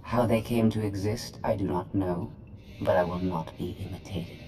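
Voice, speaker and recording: A woman's voice: a bass voice, steady tone, moderate pace, dark tone. A woman voicing a proud, disdainful droid intelligence in a game, formal, clipped and detached. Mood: cold, haughty. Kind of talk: scripted game cutscene dialogue.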